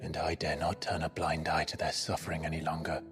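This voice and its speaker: A deep-voiced, brooding young man in a video game drama. He speaks in a grave, measured way, like a burdened former killer set on doing right, with music playing underneath. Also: completely flat delivery. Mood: earnest resolve.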